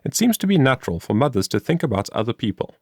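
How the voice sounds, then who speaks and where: A man's voice: mid-range voice, sing-song, fast, no room sound, studio quality. A man voicing a cheerful, industrious young woman in a storybook, in a chatty, earnest manner. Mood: earnest, musing. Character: cheerful, industrious young woman explaining her homemade invention.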